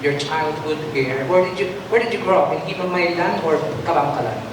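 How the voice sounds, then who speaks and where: A man's voice: a little high, expressive, brisk. A man asking questions at a press meet, hesitant with many fillers. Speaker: pageant media interviewer. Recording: echoey hall, distant mic, hum.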